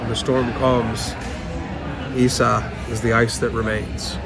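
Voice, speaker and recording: A man giving a solemn divination reading in measured, oracular phrasing. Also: medium pitch, flat delivery, vocal fry. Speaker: rune reader. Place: fair booth, filmed on location.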